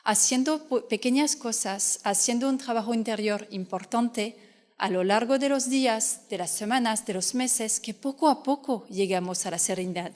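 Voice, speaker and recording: A woman's voice: fairly high pitch, normal speed, darkish tone. French lady coach talking at a fair, earnest, keeps restarting, long hall echo. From France. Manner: earnest, explanatory, with frequent restarts. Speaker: fertility coach giving a talk. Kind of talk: conference talk. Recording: hall reverb.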